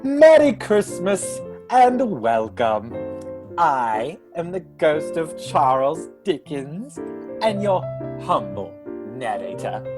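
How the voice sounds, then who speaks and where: Theatrical ghost narrator for a radio play, unhurried and expressive, music underneath, an actor plays him. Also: medium pitch. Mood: genial. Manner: warm, theatrical, measured storytelling. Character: ghost of an author, a genial storyteller narrator.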